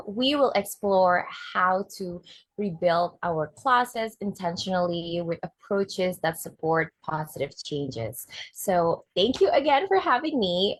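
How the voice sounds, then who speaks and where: A woman's voice: mid-range voice, animated, measured pace, no room sound. A woman from Indonesia, a fashion designer, giving an earnest webinar presentation as the guest speaker.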